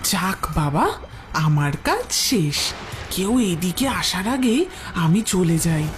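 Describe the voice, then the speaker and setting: A woman's voice: on the low side, big pitch swings, slow. A woman playing a sly, furtive maidservant to a villain in a fairy-tale audio story. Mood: furtive, relieved. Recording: music behind the voice.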